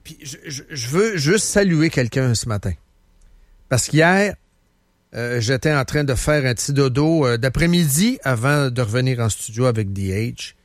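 A man's voice: medium pitch, lively intonation, normal speed, dry recording, studio quality. A man with a Quebec French accent hosting a radio show, telling stories in a rambling way with many fillers. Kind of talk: casual talk radio chat.

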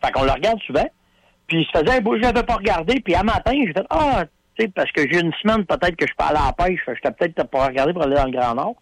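A man with a Quebec French accent chats on the radio in a slightly high voice. He tells his stories with casual slang and plenty of fillers. The sound is dry and close-miked. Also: rapid-fire, no room sound, rough recording. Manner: animated storyteller, folksy slang, many fillers.

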